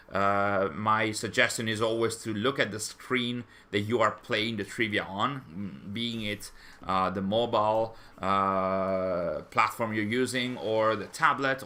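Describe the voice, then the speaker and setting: Low-voiced man hosting a live stream. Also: pushed and bright. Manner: rambling, hesitant, conversational. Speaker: fan channel stream host. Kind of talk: live stream chat, reading viewer comments.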